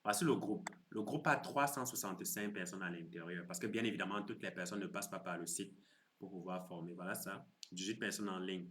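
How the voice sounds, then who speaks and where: A man in his thirties hosting a crypto live stream, chatting with viewers in a relaxed, teasing way and explaining his course. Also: deep voice, a little fast. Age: 30s. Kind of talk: casual live stream chat.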